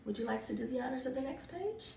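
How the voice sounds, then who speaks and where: Woman, children's read-along host. Animated, encouraging storybook delivery. Roomy sound with faint noise. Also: high-pitched, quick pace, vocal fry, distant mic. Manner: encouraging, coaxing. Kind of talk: expressive storybook reading with chat to a child. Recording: echoey room with background noise.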